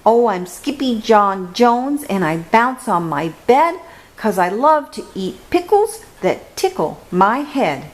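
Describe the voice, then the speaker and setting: A bouncy, sing-song little kitten boy, as a woman reading the storybook puts him on. He is excitable and sure of himself, and he takes his words slowly, pitched well above an ordinary speaking voice. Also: exaggerated voice. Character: playful boy kitten who thinks he is a Chihuahua. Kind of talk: storybook read-aloud.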